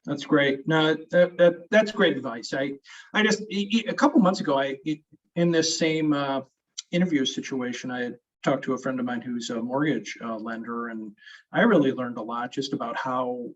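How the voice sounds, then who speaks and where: A man's voice: medium pitch, normal speed, distant mic. A man hosting as a family law attorney, talking in a rambling, stop-start, friendly way in a casual interview. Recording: muffled audio from off-mic.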